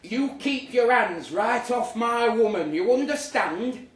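A man's voice: extremely high pitch, expressive, slow pace, pitched above the performer's own voice, heavily acted. A man voicing a lovesick, blustering office worker who talks tough and pleads melodramatically in a live comic story reading. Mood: defiant. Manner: melodramatic, stammering tough talk. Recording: roomy, distant live recording with noise.

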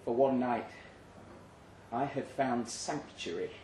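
A man's voice: mid-range voice, a little mellow. Man reading a story in an echoey room. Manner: measured, suspenseful delivery with deliberate pauses. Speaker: story reader. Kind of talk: dramatic literary narration, read aloud live. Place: indoor live reading event. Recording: roomy live venue, faint background noise.

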